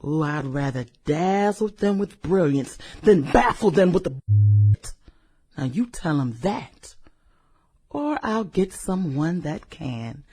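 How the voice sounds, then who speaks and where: An actress in a satirical radio drama playing a sultry, manipulative businesswoman with a theatrical, coquettish manner and breathy delivery. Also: low voice, animated, warm tone, heavily acted. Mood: bossy, angry. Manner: theatrical, coquettish, drawn-out exclamations and giggles. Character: sultry, demanding, manipulative businesswoman juggling rich lovers.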